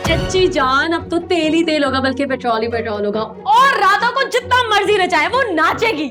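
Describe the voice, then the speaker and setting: An actress playing a cheeky, dreamy young daughter in a family TV drama, playful and sassy with a Pakistani accent. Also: female voice, extremely high pitch, lively intonation, normal speed, over loud music. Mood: boastful. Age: young adult. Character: dreamy, cheeky young middle-class daughter, cocksure about riches.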